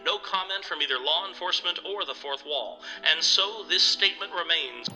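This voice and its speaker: A man playing a brisk news reporter delivering a report within an audio drama. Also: high-pitched, pushed and bright, faint music behind, rough recording. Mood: urgent.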